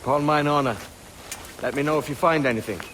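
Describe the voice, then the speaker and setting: An actor playing a roguish, practical pirate captain in a game, casual and wryly sceptical. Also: male voice, a little high, fast talker, fairly bright tone, slight background noise, close to an everyday voice. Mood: reflective. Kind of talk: game cutscene drama acting.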